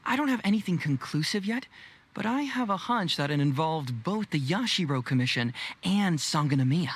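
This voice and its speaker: A woman playing a poised, playful investigator in a video game, speaking in a measured, theatrical, teasing way. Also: low voice, animated, noisy background. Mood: confiding, assured.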